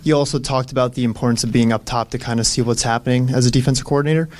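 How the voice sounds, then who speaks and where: A man's voice: mid-range voice, steady tone, rapid-fire. A man working as a reporter, putting a question at a press conference.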